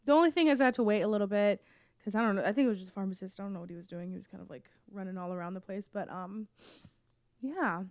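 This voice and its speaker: Hoarse young woman chatting, coughing. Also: mid-range voice, fast, dry recording. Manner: rambling, lots of fillers and restarts. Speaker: podcast co-host. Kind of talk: casual chat.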